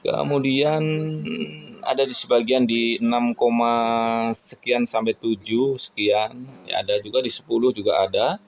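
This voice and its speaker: A man from Indonesia giving a casual, reflective monologue to viewers in an earnest, halting way, often tossing in rhetorical questions. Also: mid-range voice, steady tone, a little hiss or hum. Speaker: radio hobbyist vlogger.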